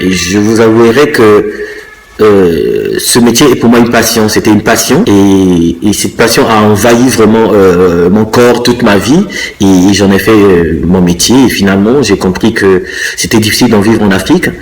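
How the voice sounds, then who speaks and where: Low-voiced man in his fifties. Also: poor audio. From Congo. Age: 50s. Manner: thoughtful, pausing often to search for words. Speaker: interviewee, dancer and choreographer. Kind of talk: casual interview answers.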